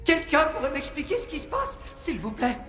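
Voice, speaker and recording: A woman's voice: sits high, big pitch swings, moderate pace, a bit bright. A woman voicing a sensible, exasperated female companion who scolds insistently in a French cartoon dub. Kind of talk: French animated film dub. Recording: faint music behind the voice.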